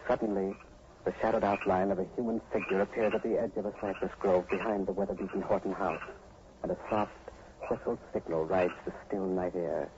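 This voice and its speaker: A man's voice: deep voice, fairly even intonation, brisk, highs cut off, noisy background. A man narrating a scripted 1940s radio broadcast as announcer, in a solemn, dramatic manner. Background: prominent music.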